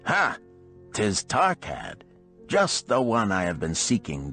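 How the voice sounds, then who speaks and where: A man's voice: on the low side, voice pushed up. A man voicing a boisterous, blunt middle-aged camel trader in an audiobook parable, declaiming in archaic phrases. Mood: demanding, scolding.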